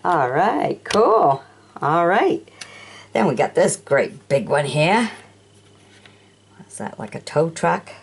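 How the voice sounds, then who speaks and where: A woman's voice: low-pitched, big pitch swings, slow, creaky, pauses often, background noise. An older woman showing off toy vehicles from an auction, chatting casually and asking the viewer questions as she films them at a sunny windowsill. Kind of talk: casual show-and-tell narration.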